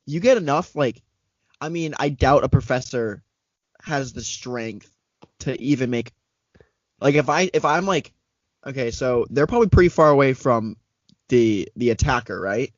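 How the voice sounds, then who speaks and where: A young man in casual podcast chat, joking and laughing through quick riffs. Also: medium pitch, expressive, dry recording. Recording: dull lo-fi dry recording.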